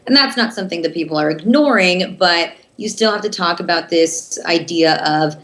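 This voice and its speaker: A woman's voice: fairly low pitch, normal speed. Earnest young woman, interview guest. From United States. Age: 20s. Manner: earnest, passionate, steady flow with filler restarts. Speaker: guest, TV news host. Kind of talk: conversational interview answers.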